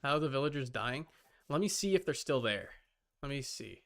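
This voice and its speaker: A man livestreaming a game in casual chat, rambling and halting as he mutters to himself and answers viewers. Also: medium pitch, lively intonation, background noise.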